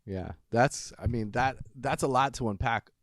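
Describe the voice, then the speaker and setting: A man's voice: mid-range voice, moderate pace, dry recording, studio quality. A man interviewing a singer in casual chat, affirming and enthusiastic in his reactions.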